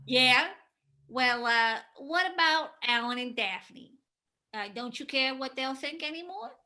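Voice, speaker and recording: A woman playing a blustering but cowardly male heffalump bully in a play reading, with halting delivery. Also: high voice, expressive, bright, forceful tone, exaggerated voice. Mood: taunting, sheepish. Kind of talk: dramatized play script reading.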